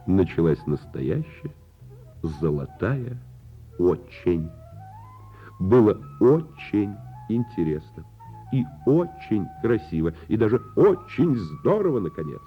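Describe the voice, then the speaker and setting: A man with a husky voice narrates a children's fairy tale as a radio play, theatrically and unhurriedly, drawing out words. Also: on the low side. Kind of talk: expressive radio storytelling for children. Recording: muffled lo-fi radio recording. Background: music.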